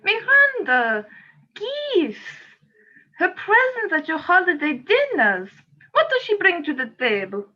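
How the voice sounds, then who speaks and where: High, swooping, earnest exorcist played by a woman. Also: wildly swooping pitch, slow, full character voice. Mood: insistent. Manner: insistent, coaxing, theatrical. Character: earnest ghost exorcist coaxing a stubborn spirit. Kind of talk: amateur radio play acting. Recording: slightly dull, lo-fi audio.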